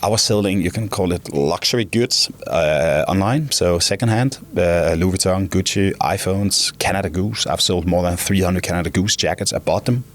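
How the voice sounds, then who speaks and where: A man's voice: low-pitched, normal speed, hardly any pauses. A man in his twenties from Denmark with a Danish accent, a company founder talking as an interview guest, rambling and often restarting or repeating words. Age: 20s. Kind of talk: casual interview talk.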